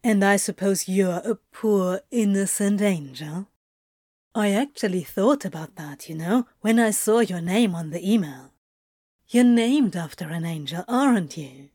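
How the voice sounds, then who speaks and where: A woman's voice: medium pitch, unhurried, mellow, no echo, clean studio sound, lightly acted. A woman playing a teasing, amused male exercise instructor in an audio fiction reading, playful and coaxing but firm. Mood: playful, knowing.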